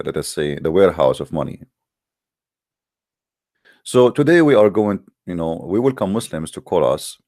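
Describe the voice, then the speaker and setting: A man's voice: deep voice, a little mellow, dry recording, studio quality. A man from the Middle East, a native Arabic speaker with an Arabic accent in English, hosting a religious call-in debate with a combative, boastful, emphatic monologue in short phrases.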